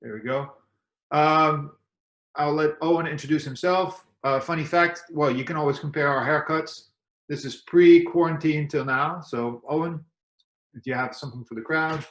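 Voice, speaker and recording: A man from the Netherlands with a Dutch accent and Dutch as his first language, presenting in a relaxed, friendly way on a webinar. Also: on the high side, normal speed. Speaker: cybersecurity presenter. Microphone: video call mic. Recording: roomy call audio.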